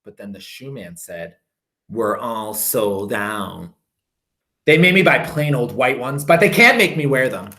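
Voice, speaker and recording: Bright-voiced man reading a storybook aloud. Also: mid-range voice. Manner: expressive, drawn-out emphasis on repeated phrases. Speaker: teacher. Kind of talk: reading a children's picture book aloud.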